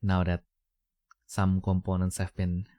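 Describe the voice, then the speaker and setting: A man's voice: sits low, monotone, measured pace, dark tone, dry recording, studio quality. A young man, hosting a podcast in casual, rambling chat full of fillers and restarts. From Indonesia. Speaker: podcast host.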